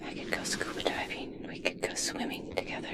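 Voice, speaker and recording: A woman playing an obsessed, possessive yet tender scientist in a slow, breathy, intimate ASMR roleplay whisper. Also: close to an everyday voice. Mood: dreamy, hopeful.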